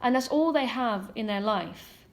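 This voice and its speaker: Higher-pitched woman, candid and talkative. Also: lively intonation, a little slow. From Ireland. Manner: candid, frequent fillers and mocking asides. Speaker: ex-member commentator. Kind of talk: casual monologue commentary.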